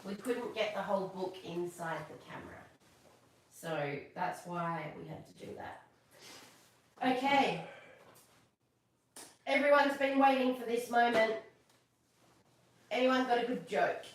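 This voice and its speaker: A woman hosting a casual live storytime, chatting and reading aloud in a playful, expressive manner for children. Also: fairly high pitch, a little slow, pauses often, slight background noise. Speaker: live stream storytelling host. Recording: very echoey room, distant from mic.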